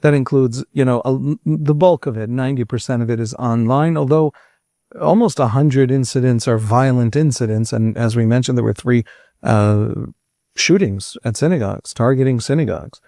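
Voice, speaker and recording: A man's voice: mid-range voice, moderate pace, warm tone, no echo, clean studio sound. A man hosting an interview, asking earnest, searching questions and often restarting his sentences. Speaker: podcast host.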